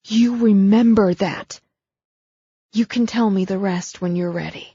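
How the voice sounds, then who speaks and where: A woman's voice: mid-range voice, a little slow, mellow, slightly rough, no room sound, muffled recording. A woman voicing a blunt, protective grown man who swears when angry, in an audiobook. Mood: tender.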